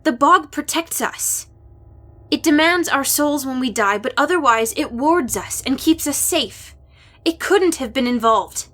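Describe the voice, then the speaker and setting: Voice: high-pitched, expressive, measured pace, a bit bright, voice pushed up. A narrator voicing a devout, earnest teenage village girl, solemn and sincere, in an audiobook. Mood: defensive. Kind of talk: audiobook character voice.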